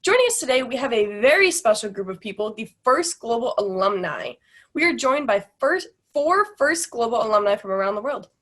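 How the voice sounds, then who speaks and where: A woman's voice: fairly high pitch, sing-song, brisk, a bit bright. A woman moderating an online panel over a video call, reading the guest introductions in an upbeat way.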